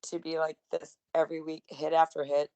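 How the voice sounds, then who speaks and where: A woman with a slightly raspy voice, co-hosting a wrestling podcast in enthusiastic, chatty conversation. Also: low voice, little pitch movement, a little fast, mellow, muffled recording. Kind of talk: casual wrestling recap chat.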